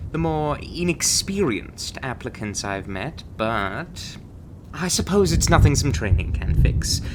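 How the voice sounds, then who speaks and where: The seasoned agent who interviews recruits behind a warded door in a monster-hunting audio drama, slow, theatrical and condescendingly suave, every sentence swooping, acted out by a man. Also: mid-range voice, background noise. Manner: theatrical, drawling, calls listener darling and doll. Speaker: voice actor in an audio roleplay. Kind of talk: scripted ASMR roleplay acting.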